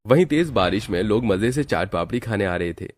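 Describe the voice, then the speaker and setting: A man's voice: on the low side, lively intonation, fairly quick. A man giving scripted storytelling narration for a moral cartoon.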